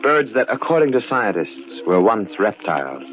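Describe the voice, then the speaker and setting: Macabre radio narrator: deep-leaning man, expressive, philosophizing, deliberate and portentous, lo-fi sound. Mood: brooding. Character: ominous, philosophizing male narrator of macabre tales. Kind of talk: old-time radio horror narration. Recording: dull, lo-fi radio recording. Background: music.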